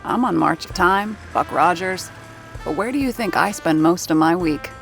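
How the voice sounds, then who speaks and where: An actress voicing a wry, sharp-tongued woman who does radio sound effects in a 1930s theater, narrating in character in an audio drama. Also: lowish voice, normal speed, vocal fry, soft background music. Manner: wry, sardonic.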